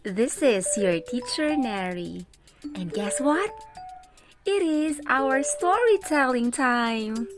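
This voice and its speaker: Casting: woman, teacher-storyteller for kids, very slow deliberate read-aloud delivery, soft music bed. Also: a little high, lively intonation. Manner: addresses children directly, deliberate phrasing.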